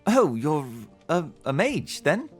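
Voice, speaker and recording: A man's voice: fairly high pitch, very animated, slow, slightly rough, soft background music, heavily acted. A man playing a weary, amiable, easily flustered travelling bookseller in a fantasy audio drama, speaking hesitantly. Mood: curious. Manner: hesitant, stammering. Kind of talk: fantasy podcast acting.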